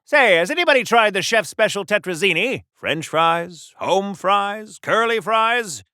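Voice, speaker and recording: Theatrical, bright-voiced 1940s gentleman hero. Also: sits high, lively intonation, unhurried, dry recording, clean studio sound, full character voice. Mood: jovial. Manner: mannered, wordy, theatrical, polite. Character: chatty, courtly, oblivious 1940s gentleman adventurer, comic superhero. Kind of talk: old-time 1940s radio-style comic acting.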